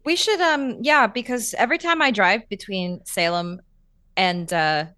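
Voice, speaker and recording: A woman's voice: medium pitch, measured pace, pushed and bright, dry recording, studio quality. A woman improvising in character in a tabletop roleplaying game, hesitant and often trailing off.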